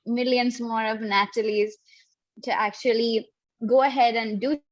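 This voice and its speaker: A woman's voice: a little high, steady tone, a little slow, no room sound. A young woman on a panel giving earnest, encouraging advice over a video call, often using "like" as a filler. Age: young adult. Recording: dull lo-fi sound.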